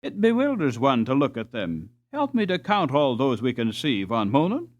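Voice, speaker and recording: A man voicing an eager, bookish, talkative schoolboy in a storybook, animated and chatty. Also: mid-range voice, moderate pace, dull audio. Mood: eager, amused. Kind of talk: storybook character dialogue.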